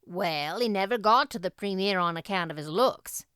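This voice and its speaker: Woman voicing a brisk, no-nonsense older farm woman. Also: medium pitch, a bit bright, smooth, no room sound, clean studio sound, close to an everyday voice. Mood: dry, matter-of-fact. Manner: matter-of-fact, dryly scolding. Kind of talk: audiobook character voice.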